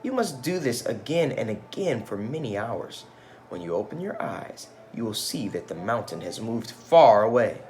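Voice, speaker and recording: Man reading as solemn wise man, very animated pitch. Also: moderate pace, noisy background. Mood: solemn, instructing. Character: solemn old wise man giving patient instructions.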